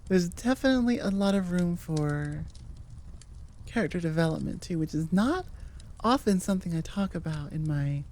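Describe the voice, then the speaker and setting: A woman talks informally to her audience as an audio roleplay creator. Her voice sits slightly low, and she rambles in a self-amused way. A little noise is audible in the recording. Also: animated, moderate pace, warm tone. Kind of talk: casual outro chat to listeners.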